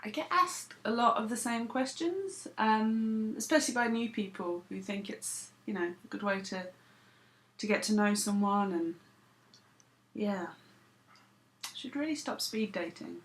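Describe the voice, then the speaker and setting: A woman's voice: medium pitch, a little slow, fairly bright tone. A woman, vlogging to camera in a dry, self-deprecating, sarcastic way. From United Kingdom. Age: 30s. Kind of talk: casual talking to camera.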